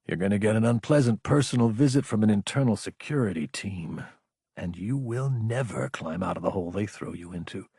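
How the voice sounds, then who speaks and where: A man's voice: lowish voice, expressive, brisk, vocal fry, mellow, husky, dry recording, studio quality, full character voice. A man voicing a young prince and military officer who speaks in a stern, blunt, commanding way. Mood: threatening.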